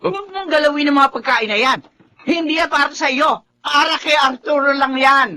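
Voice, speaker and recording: A woman's voice: sits high, moderate pace, sharp tone, slight background noise. An actress playing a scornful middle-aged mother-in-law, scolding and belittling in a melodrama. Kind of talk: Filipino melodrama acting.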